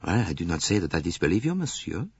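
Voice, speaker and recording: A man voicing a shrewd, courteous detective, measured and probing, in an audiobook. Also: low voice, very animated, vocal fry, darkish tone, breathy edge, no echo, dull audio, exaggerated voice. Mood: courteous, skeptical. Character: shrewd Belgian male detective, polite, probing, unruffled.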